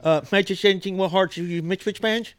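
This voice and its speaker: Fast, rambling higher-voiced man. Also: clean tone, no echo. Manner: rambling, jumping between topics. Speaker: guest. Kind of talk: casual chat.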